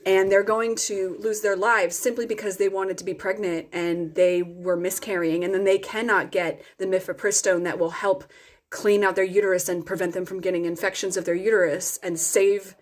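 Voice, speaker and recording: A woman's voice: medium pitch, level tone, normal speed. A woman giving a casual opinion vlog in an earnest, explanatory way, with frequent ums and restarts. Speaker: political commentator.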